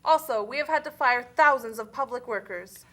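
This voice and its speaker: A woman's voice: a little high, normal speed, fairly bright tone, lightly acted. A woman playing the burdened spokesperson of a poor indebted country in an educational puppet show, earnest and recited. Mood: troubled.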